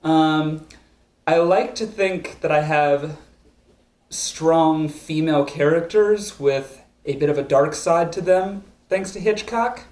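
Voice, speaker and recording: A woman's voice: low voice, slow, a little mellow. A woman filmmaker hosting a casual live stream from a couch, joking dryly and reading out viewer questions. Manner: dry, joking, reads viewer comments. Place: living room couch. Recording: roomy living room echo.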